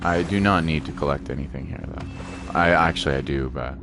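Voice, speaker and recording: Low-voiced man casually streaming games. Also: a little fast. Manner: informal, rambling, thinking aloud, occasional playful exclamations. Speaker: gaming livestream host. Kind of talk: casual livestream gameplay commentary, chatting with viewers. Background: game music.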